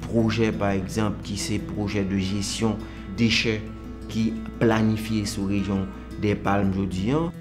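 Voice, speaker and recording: A man's voice: on the low side, level tone, measured pace. A man with a Haitian accent giving interview remarks for a documentary. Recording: music bed behind voice.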